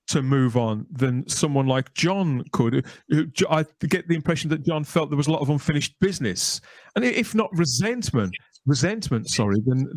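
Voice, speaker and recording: Animated male host with higher-pitched voice. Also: dry recording. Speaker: podcast host. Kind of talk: conversational interviewing.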